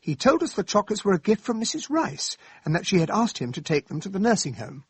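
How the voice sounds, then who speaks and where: An actor playing an earnest, loyal English gentleman who is a detective's companion and narrates a radio drama politely. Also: sits high, very animated, quick pace, husky, dry recording, faint music behind, exaggerated voice. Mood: concerned, recounting.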